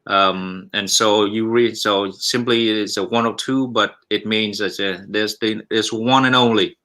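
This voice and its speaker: A Vietnamese-born man in his forties, a restaurant owner, talking in a halting, polite way with many restarts and repeated "yes, sir". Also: fairly low pitch, fairly even intonation, sharp tone. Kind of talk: casual interview chat.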